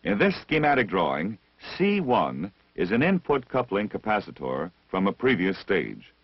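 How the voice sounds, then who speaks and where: A man narrates a vintage instructional film in a slightly low, animated voice with deliberate, didactic phrasing. His tone is a little husky, and the old soundtrack is dry and narrow, dulling the sound. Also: dry recording. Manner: measured, didactic, deliberate enunciation. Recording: dull, band-limited vintage film soundtrack.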